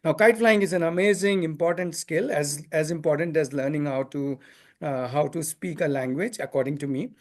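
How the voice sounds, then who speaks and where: A man leading a parents' meeting, explaining ideas in a joking, animated way. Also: a little high, normal speed. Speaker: community facilitator. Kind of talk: casual discussion.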